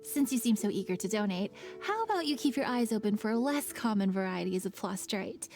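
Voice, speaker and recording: An actress playing a polite, businesslike young woman who manages a festival project in a video game, explaining things clearly. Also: medium pitch, expressive, fairly quick, a little mellow, faint music behind, natural voice.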